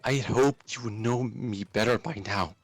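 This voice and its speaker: A man plays a young woman in a dramatic story, wounded and pleading. The delivery is earnest and emotional, halting as the feeling takes over. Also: medium pitch, dry recording, natural voice. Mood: hurt, shaken.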